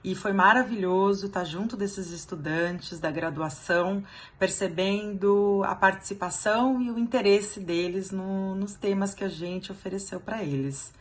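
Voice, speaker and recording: A woman's voice: medium pitch, measured pace. A woman giving an upbeat, orderly testimonial as a student teaching assistant.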